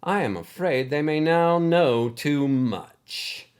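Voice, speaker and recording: A man's voice: a little high, animated, takes it slowly, clear, resonant tone, voice pushed up. A man voicing a menacing older basketball coach in a storybook, ominous, sneering and taunting. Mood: threatening.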